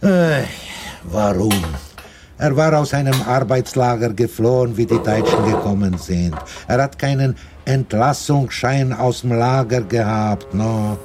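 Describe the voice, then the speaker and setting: An actor playing a talkative, world-weary older man in a German radio drama, lecturing emphatically with halting pauses and repetitions. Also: mid-range voice, background noise, natural voice. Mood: grave, emphatic.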